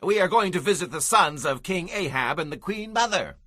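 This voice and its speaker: Unsuspecting royal traveller, lively intonation, bright voice, dry sound, audio Bible drama. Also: male voice, high-pitched, fairly quick, no room sound, full character voice. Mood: open, eager.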